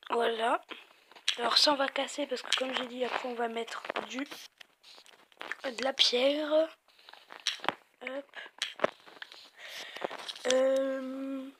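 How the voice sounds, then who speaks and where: A high-pitched woman with a southern French accent rambles through casual game commentary, pausing and hesitating often. She records on a glitchy phone microphone, and loud noise hisses under her voice throughout. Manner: rambling, chatty, many hesitations. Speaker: let's play host.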